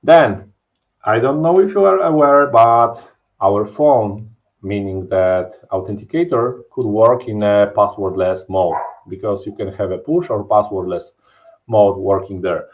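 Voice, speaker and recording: A man with a Polish accent walks viewers through a security topic in a live online session. His voice sits low and comes over a dull, lo-fi webcam stream from a home office. He talks in a loose, explanatory way, with fillers and frequent "right?" checks. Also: a little slow. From Poland. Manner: explains casually, many fillers and "right?" tags. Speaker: presenter. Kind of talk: live technical webinar talk. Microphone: webcam video call.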